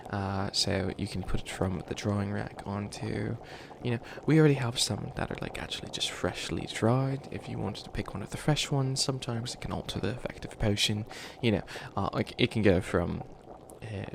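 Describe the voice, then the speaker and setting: A man voicing a flustered, youthful bunny witch who wants to be evil, stammering and rambling defensively in a comedic ASMR roleplay. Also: fairly low pitch. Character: flustered 853-year-old youthful bunny witch, harmlessly wannabe evil. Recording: clearly noisy ambience behind voice.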